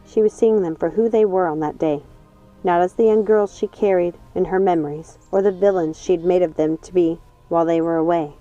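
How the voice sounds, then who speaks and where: A woman's voice: on the low side, steady tone, brisk, dark tone. A woman reading a storybook as a scripted audiobook narration. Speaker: audiobook reader. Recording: dull lo-fi sound, music underneath. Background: music.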